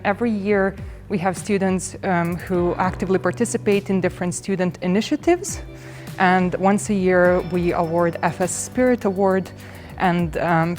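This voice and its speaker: A woman, presenting a campus tour in an upbeat, welcoming manner as a student guide. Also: mid-range voice. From Serbia. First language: Serbian. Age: 20s. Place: university campus, outdoors and in large halls.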